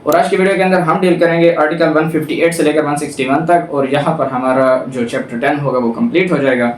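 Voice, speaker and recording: A Pakistani man teaches in an explanatory, didactic style, restating each point as he goes. His voice sits a little higher than average. The sound is quite echoey and somewhat distant from the mic, as if recorded in a bare room. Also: steady tone, fast talker. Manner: didactic, repeats and rephrases points. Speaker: law lecturer. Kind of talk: explanatory lecture, reading out legal text then explaining it.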